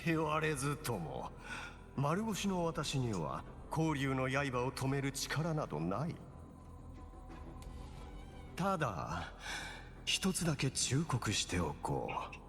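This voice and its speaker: A man playing a stern, formal game character who speaks in a solemn, measured, dramatic way. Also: mid-range voice, wildly swooping pitch, fairly bright tone. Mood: stern warning. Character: grave male game character, stern and formal, giving warnings. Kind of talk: dramatic video game voice acting. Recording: long hall reverb, game music behind.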